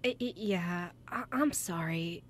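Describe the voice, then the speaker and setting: A woman's voice: fairly low pitch, unhurried, pitched below the performer's own voice. A woman playing an anxious, apologetic young fashion model who stammers hesitantly in an amateur radio play. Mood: apologetic, distracted.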